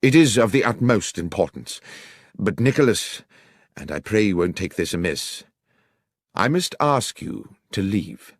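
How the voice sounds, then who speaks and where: An earnest, courteous gentleman of a Victorian detective story, acted by a male narrator. His voice sits fairly low and he speaks with grave, formal politeness. It is recorded close and dry. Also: very animated, measured pace, no room sound. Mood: grave, urgent.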